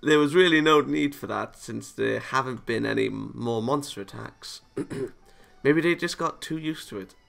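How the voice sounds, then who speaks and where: A man's voice: mid-range voice, measured pace, creaky, fairly bright tone. A man playing a visual novel, reading the story aloud and adding casual reactions of his own. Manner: chuckles and comments between read lines. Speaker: video game let's player.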